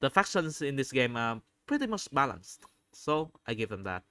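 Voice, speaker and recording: A man giving unscripted video commentary, halting often as he searches for words and repeats phrases. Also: medium pitch, very animated, sharp tone, no room sound. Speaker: video host reviewing game screenshots.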